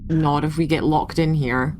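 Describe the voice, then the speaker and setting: A woman's voice: sits low, fairly even intonation, measured pace, noisy background. A woman chatting casually as a player at a tabletop game.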